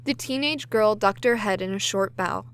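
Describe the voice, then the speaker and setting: A woman's voice: medium pitch, normal speed. A woman reading scripted story narration for an audio drama. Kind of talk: scripted audiobook-style narration, blooper takes.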